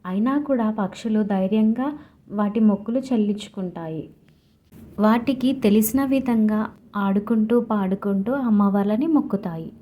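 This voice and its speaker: A woman's voice: medium pitch, normal speed, lo-fi sound. A woman narrator reading a scripted story for children.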